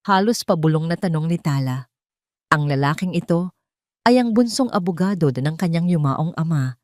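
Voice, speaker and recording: Lowish-voiced woman narrating a drama. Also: darkish tone, dry recording, studio quality. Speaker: story narrator. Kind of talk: dramatic scripted narration of Tagalog romance drama.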